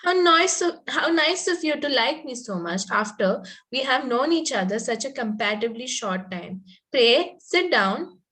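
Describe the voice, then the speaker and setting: Naive, romantic young ingenue, played by a woman, slightly high voice, prim and earnest, Victorian comedy table read. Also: lively intonation, normal speed, hardly any pauses, sharp tone, poor audio. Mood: eager, polite. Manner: earnest, politely formal. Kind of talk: amateur play table read.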